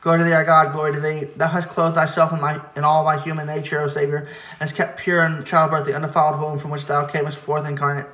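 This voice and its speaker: Bright-voiced man reciting liturgy in an echoey room. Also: on the high side, monotone. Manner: solemn, measured, occasionally stumbling over words. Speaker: reader of church liturgy. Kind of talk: liturgical recitation of hymn verses.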